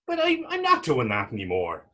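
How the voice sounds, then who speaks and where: A man playing a taunting, defiant Irishman in a readers theater drama, with a husky voice, an Irish accent and a mocking, wordy old-fashioned way of talking. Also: mid-range voice, huge pitch swings, brisk, bright, forceful tone, exaggerated voice. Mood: wry, teasing. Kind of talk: readers theater stage acting.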